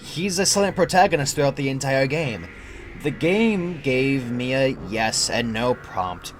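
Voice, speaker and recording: A man's voice: mid-range voice, very animated, a little slow. Young man narrating horror over music. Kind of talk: scripted first-person creepypasta narration.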